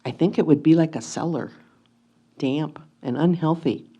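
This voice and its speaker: A woman as a mellow-voiced, anxious pioneer wife. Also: sits low, moderate pace, husky, lo-fi sound. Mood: hopeful, practical. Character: anxious, curious 1850s wife writing to her absent husband. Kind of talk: reader's theatre letter reading.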